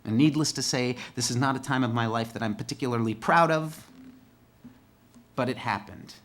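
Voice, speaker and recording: A man recounts his own story to listeners in a candid, conversational way. He builds rhythm by stacking short repeated phrases one after another. His voice sits at an ordinary middle pitch for a man. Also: normal speed, fairly bright tone. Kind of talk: personal storytelling monologue.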